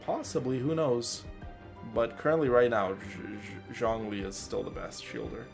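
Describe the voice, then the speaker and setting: A man giving casual gameplay commentary as a streamer, explaining things informally and haltingly. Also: mid-range voice, expressive, vocal fry, faint music behind. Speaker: game streamer.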